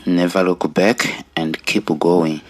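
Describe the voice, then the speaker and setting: A middle-aged man with a Rwandan accent giving an earnest personal testimony in deliberate, short phrases with pauses. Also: sits low, steady tone. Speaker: storyteller sharing own life story.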